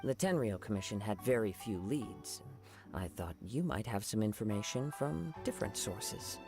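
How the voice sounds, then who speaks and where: Gentle young samurai, creaky-edged voice. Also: male voice, on the low side, expressive, moderate pace, soft background music. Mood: courteous. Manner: polite, composed, formal. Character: gentle, courteous young male wandering samurai. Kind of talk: video game voice acting.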